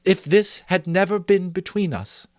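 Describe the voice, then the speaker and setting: A man voicing a gentle, sorrowful young woman in a Victorian story, speaking with earnest, wounded deliberation. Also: high-pitched, unhurried, no room sound, studio quality, pitched above the performer's own voice. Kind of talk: dramatic audiobook reading of a Victorian story.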